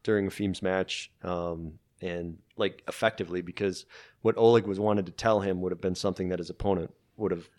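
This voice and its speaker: Man, very low pitch, easygoing, laid-back, casual podcast chat. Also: steady tone, a little fast, a little mellow. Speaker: jiu-jitsu competitor.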